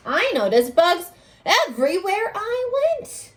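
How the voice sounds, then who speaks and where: High, slow, very animated woman reading to children. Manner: expressive, exclamatory, coaxing children to respond. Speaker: teacher reading aloud to young children. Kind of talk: animated children's read-aloud with questions to listeners.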